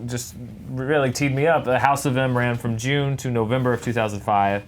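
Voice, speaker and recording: A man's voice: mid-range voice, fairly even intonation, normal speed, a little hiss or hum. A man giving casual commentary as a judge on a debate show, thinking it through hesitantly as he talks.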